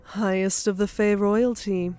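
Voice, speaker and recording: A woman's voice: medium pitch, steady tone, measured pace, mellow, clean tone, natural voice. A woman playing a proud, grudging warrior goddess with a blunt manner in an audiobook drama. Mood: grave briefing. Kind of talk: audiobook character acting.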